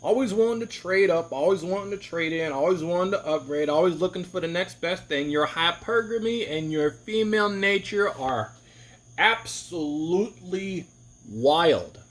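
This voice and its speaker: A man's voice: lively intonation, moderate pace, a bit bright. A man giving an opinionated, rambling monologue to camera, often restarting his sentences. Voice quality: deep voice. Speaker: vlogger.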